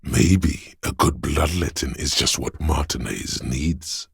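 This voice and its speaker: Super deep husky paranoid inner voice for a video game, slow n ominous, like raw fear and menace talking. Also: male voice, fairly bright tone, no echo, studio quality, exaggerated voice. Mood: aggressive, paranoid. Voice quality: gravelly, husky, breathy. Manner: slow, ominous, intense delivery. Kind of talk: dramatic video game voice acting.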